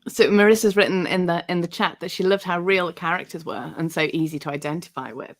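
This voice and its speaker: A woman's voice: fairly low pitch, fast talker. A woman co-hosting an online discussion, talking casually and earnestly, effusive and repeating phrases for emphasis. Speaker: co-host. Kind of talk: casual, appreciative book discussion. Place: live online video call.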